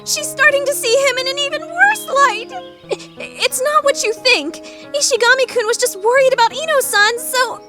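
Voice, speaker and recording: A woman's voice: very high-pitched, moderate pace, loud music behind the voice. A woman voicing a theatrical, easily flustered, scheming teenage girl in an anime fandub radio play. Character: dramatic, scheming, guilt-ridden teenage student girl.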